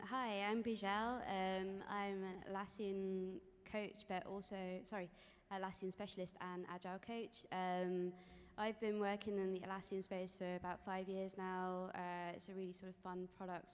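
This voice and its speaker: A woman introducing herself casually on a panel, halting and correcting herself. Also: medium pitch, level tone, smooth. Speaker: agile coach on a panel. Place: conference stage in a large hall. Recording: echoey conference hall PA.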